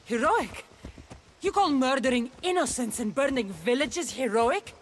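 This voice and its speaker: An actress playing a distrustful, defiant ancient Greek mercenary in a video game, with blunt and guarded delivery. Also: female voice, high voice, expressive, unhurried. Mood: scornful, angry. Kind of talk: video game dramatic acting. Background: music.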